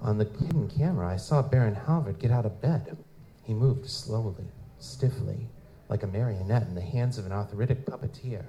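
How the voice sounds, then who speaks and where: An actor playing a world-weary, cynical private investigator in a live noir audio drama, wry and slangy in manner. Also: male voice, lowish voice, normal speed, dark tone, natural voice, rough recording. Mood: brooding, wry. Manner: wry, slangy, hard-boiled. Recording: live convention performance, clear background noise.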